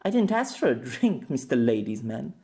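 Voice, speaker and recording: A man's voice: medium pitch, very animated, normal speed, gravelly, mellow, a little hiss or hum. A man voicing a playful, teasing young woman adventurer who coos and pouts, in an audiobook story. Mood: teasing, curious. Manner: cooing, pouting, cheeky.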